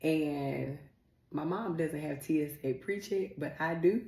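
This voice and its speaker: Low-voiced woman on a podcast, talks a mile a minute, teasing, just getting over a cold, roomy echo. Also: creaky, mellow. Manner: chatty, fast-talking, playful teasing. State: recovering from a cold. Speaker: podcast co-host. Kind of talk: casual chat. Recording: echoey room.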